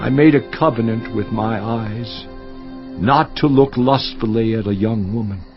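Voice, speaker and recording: A man's voice: on the low side, unhurried, dull audio, faint music behind, lightly acted. An actor playing a suffering, righteous man in a dramatized scripture audiobook, with solemn, impassioned, poetic declamation. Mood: solemn, earnest. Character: suffering, anguished righteous man lamenting to God.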